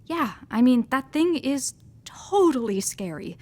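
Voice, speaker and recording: A woman's voice: high voice, sing-song, unhurried, darkish tone, heavily acted. A woman playing a laid-back, wry young crewmate on a space station, speaking casually in a sci-fi audio drama. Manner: casual, understated.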